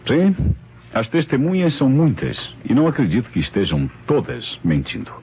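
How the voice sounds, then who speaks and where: An actor playing a commanding, analytical police inspector in an old radio mystery, with a deliberate, theatrical delivery. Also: male voice, medium pitch, wildly swooping pitch, darkish tone, husky, full character voice. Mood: reasoning. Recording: muffled, hissy old radio recording.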